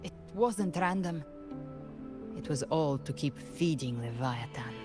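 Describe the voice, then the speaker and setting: Game role: resolute young warrior woman, low and grave, formal delivery, music clearly underneath. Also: expressive. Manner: solemn, formal, measured. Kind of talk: scripted video game dialogue.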